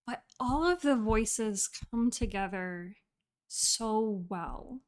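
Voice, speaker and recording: A woman hosting a casual livestream reaction chat, enthusiastic and gushing in a halting way. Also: a little high, lively intonation. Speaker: livestream host and voice actor. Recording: faint music behind.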